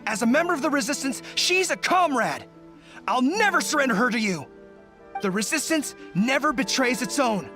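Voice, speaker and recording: A man's voice: very high-pitched, very animated, moderate pace, sharp tone, faint music behind, exaggerated voice. A man playing an earnest, loyal young resistance general in a video game, speaking with resolute conviction. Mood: indignant, defiant. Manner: impassioned, resolute. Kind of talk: scripted video game dialogue.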